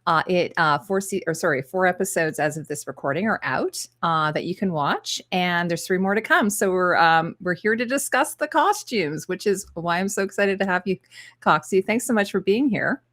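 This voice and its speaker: A woman's voice: fairly low pitch, expressive, moderate pace, a bit bright. Upbeat woman hosting an interview. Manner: upbeat, enthusiastic, chatty asides. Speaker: interview host. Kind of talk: friendly channel interview. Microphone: video call.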